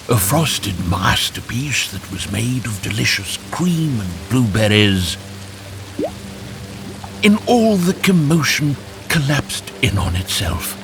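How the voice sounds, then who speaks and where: A man narrating a scripted children's fairytale in an expressive, animated storytelling way. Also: on the low side, vocal fry.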